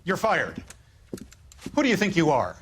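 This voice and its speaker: Slick, high-voiced corrupt executive. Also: male voice, wildly swooping pitch, a little slow, a bit bright, slight background noise, exaggerated voice. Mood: angry. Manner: polite, smooth, genteel. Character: smooth, evasive, corrupt male company executive. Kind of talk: 1940s detective game acting.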